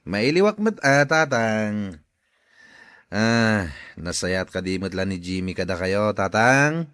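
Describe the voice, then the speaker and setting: A man playing a greedy, calculating eldest son in a radio drama, with an expressive delivery. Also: on the low side, takes it slowly, long pauses, clear, resonant tone, dry recording, clean studio sound, full character voice. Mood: falsely friendly.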